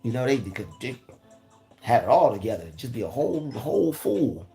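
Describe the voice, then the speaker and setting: Raspy-voiced man, earnest pep talk, repeats himself, faint music. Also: mid-range voice, sing-song, normal speed, dark tone. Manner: repeats phrases, preachy and earnest. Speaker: motivational vlogger. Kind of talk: casual motivational talk.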